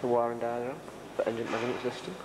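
A man's voice: mid-range voice, steady tone, racing. A young man from the United Kingdom giving a casual, chatty commentary while filming a tour of a car workshop on a camcorder. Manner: chatty, pointing things out with dry humour. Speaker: visitor filming a factory tour. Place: large indoor car workshop. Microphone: handheld camcorder mic. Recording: loud workshop noise behind. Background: workshop and engine noise.

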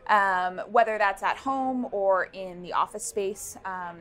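Young female founder, lapel-miked at a busy event; thoughtful, halting delivery with frequent ums. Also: medium pitch, fairly bright tone. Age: young adult. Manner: thoughtful, many ums and restarts. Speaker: startup founder. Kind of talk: interview answers. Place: busy indoor networking event. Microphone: lapel mic.

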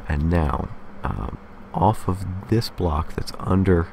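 A man's voice: deep voice, slow pace, vocal fry, a little mellow, slight background noise. A man hosting a casual game building tutorial, explaining methodically step by step and often checking in with a short okay. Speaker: tutorial host.

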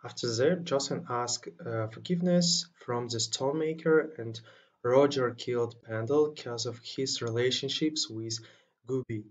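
A man's voice: medium pitch, measured pace. A young man reading a scripted book summary as a student presenter. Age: young adult.

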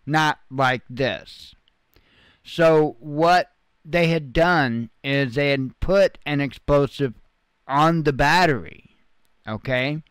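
A man's voice: on the high side, slow pace, fairly bright tone, smooth, no room sound. A man giving informal news commentary as a channel host, explaining things in a folksy, plain-spoken way.

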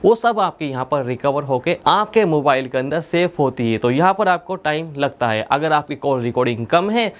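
Higher-pitched young man giving a tutorial. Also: lively intonation, moderate pace, few pauses. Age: young adult. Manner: instructional, repeats points methodically. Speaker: tech tutorial presenter. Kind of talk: step-by-step tutorial narration. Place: indoor studio with plain blue backdrop.

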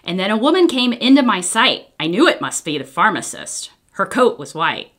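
A middle-aged American woman reading a children's book aloud in an expressive, deliberate way. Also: mid-range voice. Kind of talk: read-aloud storytelling, scripted. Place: small indoor room, wall covered in papers.

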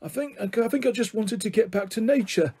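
Man playing a high, rambling old grandfather. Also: brisk, a little mellow, slightly rough. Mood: muddled, wistful. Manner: rambling, forgetful. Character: muddled, rambling old grandfather with eccentric notions.

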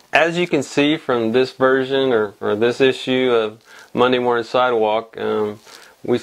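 Host, man, 40s, loose rambling update from his tying room, clip-on mic, a bit under the weather. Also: mid-range voice. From Texas. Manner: rambling, many uh fillers and restarts. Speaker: fly fishing show host. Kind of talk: casual weekly update to camera. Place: indoor fly tying room.

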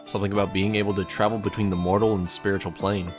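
A man's voice: lowish voice, little pitch movement, fast, over music. A man reading a scripted second-person story aloud as its narrator.

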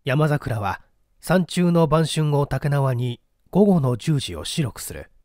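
A man's voice: fairly high pitch, very animated, takes it slowly, darkish tone, no room sound, clean studio sound. A man reading a literary story as a dramatic scripted narration. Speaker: audiobook narrator, stage performer.

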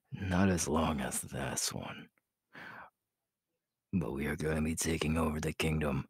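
A man playing a ruthless, menacing young werewolf alpha in an ASMR audio roleplay, gravelly and breathy, slow and boastful, often dropping to a whisper. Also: rumbling low, dry recording, studio quality, exaggerated voice.

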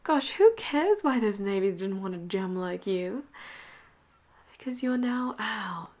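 A woman playing a flirty, possessive pirate captain in a roleplay audio drama, with a breathy voice that often drops into whispers and teasing endearments. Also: medium pitch, lively intonation, unhurried, darkish tone. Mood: possessive, affectionate.

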